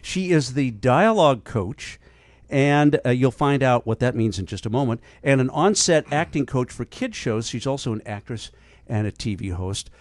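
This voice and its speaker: A man's voice: mid-range voice, lively intonation, normal speed, no echo, studio quality. A man hosting an interview show, joking and playful with his guest. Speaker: talk show host. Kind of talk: casual interview chat.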